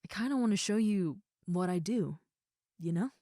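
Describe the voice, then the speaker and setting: A woman playing a gentle, shy young ogre roommate in an intimate comfort roleplay, talking softly, casually and a little hesitantly to the listener. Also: mid-range voice, lively intonation, normal speed, dry recording, studio quality, close to an everyday voice. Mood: shyly excited. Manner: soft, hesitant, casual, reassuring.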